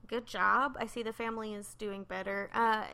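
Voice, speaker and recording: A woman's voice: mid-range voice, steady tone, normal speed, vocal fry, bright, forceful tone, clean tone. A woman co-hosting a podcast, telling a true crime story in a loose, chatty way full of "um" and "like". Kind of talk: casual storytelling chat.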